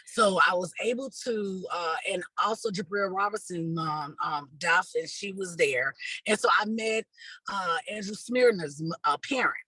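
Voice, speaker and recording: A woman with a husky voice answering interview questions as an activist and organizer, earnest and halting, often repeating words. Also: medium pitch, sharp tone, no echo, rough recording.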